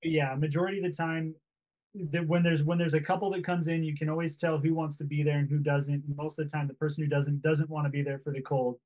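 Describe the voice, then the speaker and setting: A man's voice: a little high, level tone, quick pace, a little mellow, muffled recording. A man who co-owns a sauna business, explaining steadily in a casual video call. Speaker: sauna business co-owner, guest.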